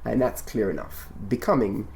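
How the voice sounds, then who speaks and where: A man hosting a podcast interview in a measured, instructive way, rephrasing his points and prompting his guest as he talks. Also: on the low side, gravelly, darkish tone. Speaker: radio show and podcast host. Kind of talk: conversational interview, explaining and prompting.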